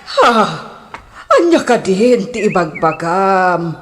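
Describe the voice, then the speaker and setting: A woman's voice: mid-range voice, sing-song, slow pace, in a large hall. A woman playing a jealous, possessive, quarrelsome lover in a comedy radio drama, theatrical and whiny. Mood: indignant, jealous.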